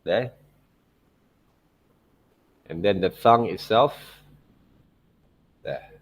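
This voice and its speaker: A man giving an unscripted step-by-step tutorial as an art teacher, halting and repetitive, often checking in with short tag words. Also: lowish voice, breathy edge. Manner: halting, repetitive phrasing with frequent "okay, right, see" checks. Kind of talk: unscripted step-by-step drawing demonstration.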